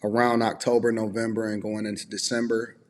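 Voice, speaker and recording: A man's voice: lowish voice, flat delivery, a little fast, a little hiss or hum. A man giving casual, off-the-cuff opinion commentary as an online commentator. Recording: roomy with long reverb.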